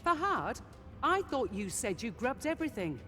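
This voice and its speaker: A cautious, skeptical mercenary in a game cutscene. His high, lively voice questions warily, with long reverb around it. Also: normal speed, exaggerated voice. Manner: questioning, wary. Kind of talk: dramatic video game acting. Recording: long reverb, music underneath.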